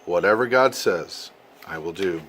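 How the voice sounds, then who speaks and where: A man's voice: low-pitched, lively intonation, unhurried, a bit bright. An older man voicing an amazed, earnest and trusting young girl in a storybook. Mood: humble, trusting. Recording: noisy amateur home recording.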